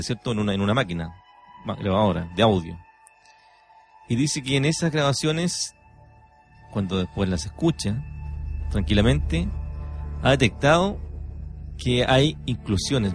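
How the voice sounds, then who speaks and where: A man hosting a conversational radio talk show, speaking emphatically. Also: medium pitch.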